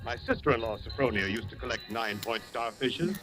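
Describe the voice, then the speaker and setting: Actor as folksy, insistent suitor, old radio drama. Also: male voice, medium pitch, expressive, fairly quick, lightly acted. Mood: intimate, musing. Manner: breezy, folksy, insistent, coaxing. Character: folksy, forward suitor, a hotel man from Maine. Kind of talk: 1940s radio suspense acting. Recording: hissy old radio recording with music.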